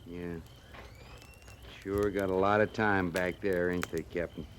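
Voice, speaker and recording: Male army sergeant, old radio western. Lowish voice, folksy and slyly deferential, faint noise. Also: level tone, measured pace. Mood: probing, deferential. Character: folksy, wry, dutiful male cavalry sergeant. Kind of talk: 1950s radio western acting. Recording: noisy old radio drama recording.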